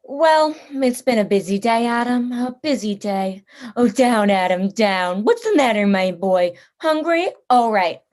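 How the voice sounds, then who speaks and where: A woman acting a cold-blooded aristocratic hunter-general in a radio play. The delivery is very unhurried and rather high, with theatrical courtesy and smug menace. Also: expressive, darkish tone. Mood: musing, smug. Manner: theatrical, leisurely, smugly courteous. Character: genteel, cold-blooded aristocratic general who hunts people for sport.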